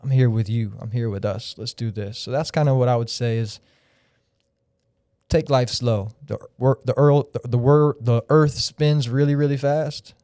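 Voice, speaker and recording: Man in his thirties, podcast guest, smooth mellow voice, rambling and reflective. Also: medium pitch, normal speed, dry recording, studio quality. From Florida. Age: 30s. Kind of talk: casual podcast chat.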